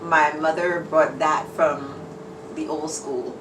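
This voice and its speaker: A woman's voice: low-pitched, fairly even intonation, slow pace. A woman who grew up in New York, chatting and laughing easily as she is interviewed in an herbal shop. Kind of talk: casual interview chat. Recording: roomy, distant mic, noisy background.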